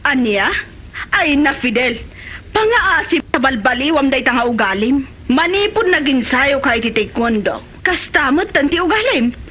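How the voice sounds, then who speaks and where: A woman's voice: high-pitched, big pitch swings, moderate pace, fairly bright tone, muffled recording, slight background noise. A woman playing an exasperated, scolding middle-aged mother in a Filipino comedy drama, with an Ilocano accent.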